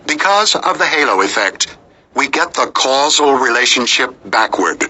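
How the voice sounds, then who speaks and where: Guy narrating a nonfiction audiobook, really bright crisp forceful voice, lively pitch, music behind. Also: moderate pace, muffled recording.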